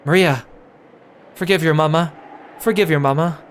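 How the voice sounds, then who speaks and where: A man's voice: fairly high pitch, moderate pace, slight background noise, close to an everyday voice. A man reading the lines of a distraught, remorseful mother who pleads for forgiveness, in a visual novel read aloud on stream. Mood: tearful pleading. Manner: pleading, apologetic.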